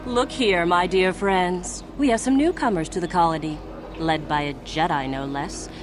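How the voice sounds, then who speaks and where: A woman's voice: on the low side, normal speed, noisy background, lightly acted. Smug Sith gang leader, played by a woman. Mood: smug, taunting. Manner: taunting, condescending. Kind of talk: video game villain acting.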